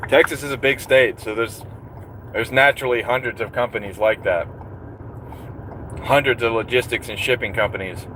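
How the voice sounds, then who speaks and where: A man's voice: mid-range voice, normal speed, pauses often. A man from Florida, casually chatting with his viewers while driving, answering their questions in a rambling, easygoing way. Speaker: truck driver live-streaming to viewers. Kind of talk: casual live-stream chat while driving. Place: inside a vehicle on the road. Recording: noisy road sound from a vehicle.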